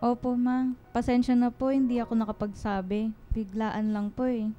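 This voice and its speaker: Woman playing a high-pitched bratty Filipina teen. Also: little pitch movement, moderate pace, dark tone, muffled recording, soft background music, lightly acted. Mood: nervous, meek. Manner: bratty, defensive. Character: snappish teenage girl, eldest daughter. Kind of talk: Tagalog radio drama acting.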